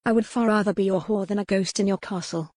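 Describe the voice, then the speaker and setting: A woman's voice: medium pitch, fairly even intonation, fast talker, a little mellow, dry recording, clean studio sound, natural voice. A woman voicing a proud, defiant young medieval noblewoman in an audiobook, with formal period speech. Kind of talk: dramatic audiobook character reading.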